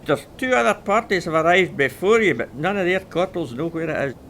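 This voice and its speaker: An actor playing a bluff, no-nonsense quartermaster sergeant, loud and authoritative, in a war audio drama. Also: male voice, a little high, lively intonation, brisk, slight background noise. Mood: bluff, commanding.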